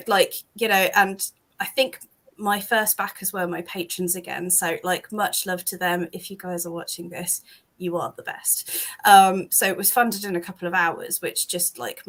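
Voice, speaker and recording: A British woman talking casually about her comic on a call, rambling with lots of ums and restarts, a bit hoarse from losing her voice. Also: mid-range voice, fairly bright tone. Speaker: comic creator guest. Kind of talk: casual chat on a video call.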